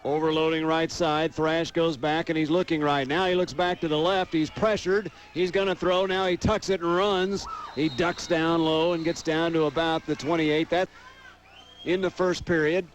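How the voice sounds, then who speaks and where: A man's voice: fairly high pitch, flat delivery, normal speed. An American man doing live radio play-by-play commentary of a football game.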